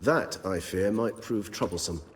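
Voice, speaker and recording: An actor playing a courteous, scholarly vampire in a video game, speaking in formal, precise, wordy sentences. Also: male voice, deep voice, a little slow, slight background noise. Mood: grave, apologetic. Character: erudite, courteous vampire scholar, wry and cautious. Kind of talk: video game dialogue acting. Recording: music behind the voice.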